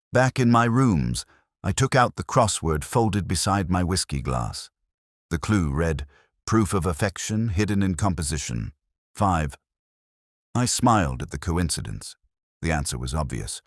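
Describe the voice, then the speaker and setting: A man narrating a detective mystery in the first person as an ageing, contemplative detective, in a measured, literary, reflective manner. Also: low-pitched, no room sound, studio quality. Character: ageing male detective, melancholy, contemplative, world-weary.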